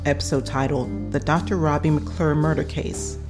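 Woman, low pitch, scripted host introduction, clear music bed. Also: steady tone, normal speed. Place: voice-over with music behind.

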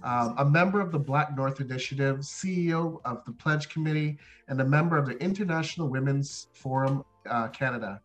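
A man co-hosting an interview show, introducing a guest in an enthusiastic, formal way with hesitant pauses. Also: mid-range voice, soft background music, rough recording. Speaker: podcast co-host.

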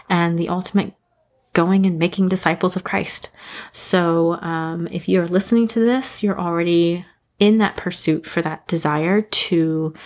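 Podcast host, woman in her thirties, low gravelly voice, earnest devotional monologue with lots of ums. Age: 30s. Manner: earnest, rambling, frequent ums and soft laughs. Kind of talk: reflective devotional talk.

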